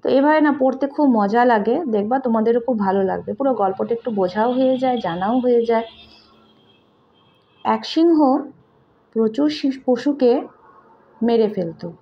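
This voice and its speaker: A woman with a Bengali accent reading a moral story aloud to children in simple, deliberate phrases, like a teacher. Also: a little high, a little hiss or hum. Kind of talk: slow read-aloud moral story with translation. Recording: dull lo-fi home recording.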